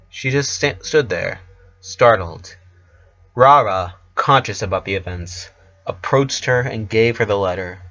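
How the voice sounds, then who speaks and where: Male narrator, slow pace, short deliberate phrases, scripted storytelling, slightly dull lo-fi recording. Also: lowish voice, bright, forceful tone.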